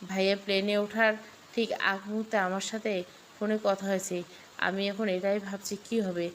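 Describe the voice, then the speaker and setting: A woman's voice: mid-range voice, flat delivery, normal speed, slight background noise, close to an everyday voice. A woman voicing an anxious, scolding older brother in a storybook, worried and foreboding. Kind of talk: storybook dialogue.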